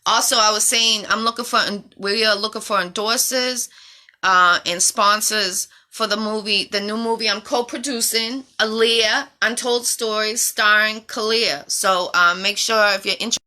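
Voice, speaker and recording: A woman's voice: medium pitch, steady tone, bright, forceful tone. A woman in her forties hosting a casual interview show, chatty and upbeat. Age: 40s. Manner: chatty, upbeat, quick asides. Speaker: show host.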